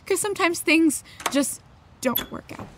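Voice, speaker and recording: An emotional young woman in an audio drama. Her voice is very high and bright. She speaks haltingly, wounded and convinced she has failed. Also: lively intonation, heavily acted. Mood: bitter, hurt. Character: upset young woman feeling like a failure. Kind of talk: naturalistic audio drama acting.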